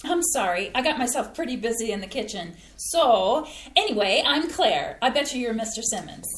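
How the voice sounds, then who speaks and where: A woman's voice: on the high side, very animated, normal speed, slight background noise. A woman reading the part of a chatty hostess in a staged play reading. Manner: chatty and gushing. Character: chatty, fussy woman hosting guests. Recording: roomy stage sound.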